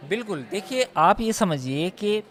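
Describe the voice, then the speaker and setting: A man's voice: sits high, expressive, unhurried, fairly bright tone. A man working as a news reporter gives unscripted live analysis, emphatically repeating his points and listing figures.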